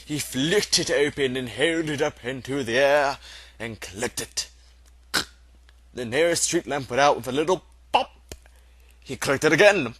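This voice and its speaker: A man's voice: medium pitch, a little slow, long pauses, fairly bright tone, breathy edge, dry recording. A man reading a storybook aloud as narrator, in a theatrical, emphatic style. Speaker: amateur audiobook reader.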